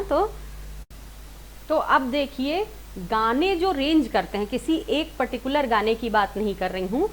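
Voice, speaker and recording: High-pitched woman singing teacher, slow pace. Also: a little hiss or hum, rough recording. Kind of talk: instructional demonstration, counting out notes.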